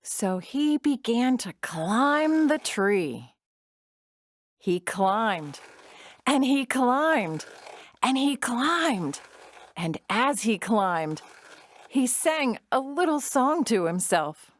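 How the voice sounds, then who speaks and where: A woman narrating a scripted children's story in an expressive, measured storytelling manner. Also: on the high side, no echo.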